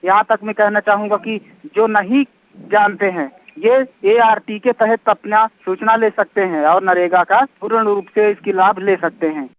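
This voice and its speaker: A man's voice: high voice, fairly even intonation, moderate pace. A male interviewee tells his own experience. The recording is dull and lo-fi, like a radio feed. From Kaushambi, Uttar Pradesh, India. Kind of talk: spoken first-person testimony.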